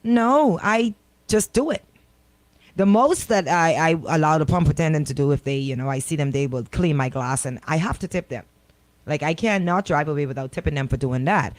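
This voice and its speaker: A woman's voice: low voice, moderate pace, no room sound. A woman co-host, chatting casually in a blunt, opinionated way. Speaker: radio co-host. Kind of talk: casual chat.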